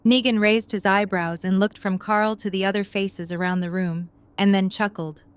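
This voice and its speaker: Woman reading story narration, medium pitch, really clean smooth voice. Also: fairly even intonation, normal speed.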